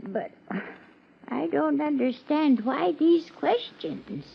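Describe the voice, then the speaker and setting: A fluttery small-town spinster landlady in an old radio play, nervous and easily smitten. Her high voice wavers widely and she takes her time, stammering and hesitating. The recording is muffled and dated. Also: lively intonation, takes it slowly, vocal fry, a little hiss or hum, exaggerated voice. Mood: prim, puzzled. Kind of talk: 1950s radio drama acting.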